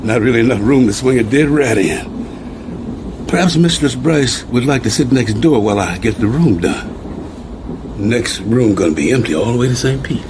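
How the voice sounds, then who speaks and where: An actor playing a deferential, wary sleeping-car attendant in a radio drama, with folksy speech. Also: male voice, lively intonation, normal speed, natural voice. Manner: folksy, servile, colloquial speech. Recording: noisy radio drama, train rumble behind.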